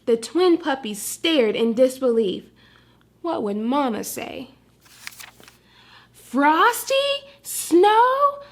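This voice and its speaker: High, expressive woman reading to children. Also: takes it slowly. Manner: expressive, instructive, addresses young listeners. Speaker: storytime host and teacher. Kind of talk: children's read-aloud with comprehension questions.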